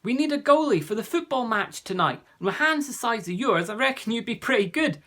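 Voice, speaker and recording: A man's voice: very high-pitched, brisk, voice pushed up, exaggerated voice. A man reading aloud, voicing a cheerful, polite young schoolboy. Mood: friendly. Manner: lively and friendly.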